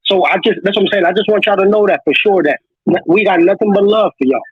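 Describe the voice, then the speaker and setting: A man's voice: high-pitched, fast talker, husky, dry recording. Man from South Africa, earnest, reassuring, dry tinny audio. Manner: earnest, reassuring, repeats himself. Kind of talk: audio chat room discussion.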